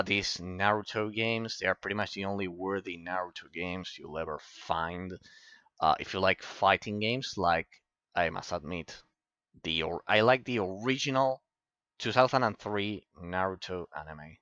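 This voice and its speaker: Italian guy rambling about old games, deep voice, bright edge, kinda dull lo-fi sound. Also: normal speed, smooth, dry recording. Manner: rambling, opinionated, frequent restarts. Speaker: game reviewer. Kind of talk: casual commentary over game footage.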